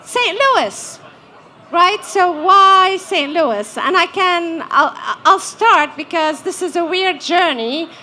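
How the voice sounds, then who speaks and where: A woman's voice: very high-pitched, lively intonation, takes it slowly, sharp tone. A Lebanese-accented woman from Lebanon leading questions on a live panel, enthusiastic and fond of tag questions. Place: stage at a festival panel.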